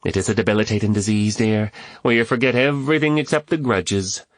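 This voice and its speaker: A man's voice: fairly low pitch, brisk, no room sound, voice pushed up, close to an everyday voice. A male narrator playing a jovial, teasing Irishman in an audiobook, with a mock Irish accent and a theatrical, bantering delivery. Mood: mock lecturing. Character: jovial, teasing Irishman trading quips at a party.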